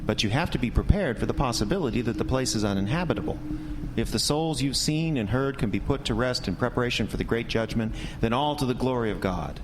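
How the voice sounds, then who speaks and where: Man acting an earnest, animated country minister. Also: medium pitch, quick pace, lightly acted. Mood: earnest, cautioning. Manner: earnest, preacherly. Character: earnest, folksy Protestant minister, gently warning. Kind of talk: audio drama acting. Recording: reverberant, clear background noise.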